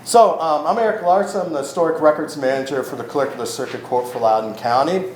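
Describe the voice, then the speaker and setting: A man giving an informal public talk as a records manager, loud-voiced, with many ums and self-corrections, speaking without a microphone in an event room. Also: on the high side, fast talker. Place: event room in a historic house. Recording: roomy echo with faint ambient noise.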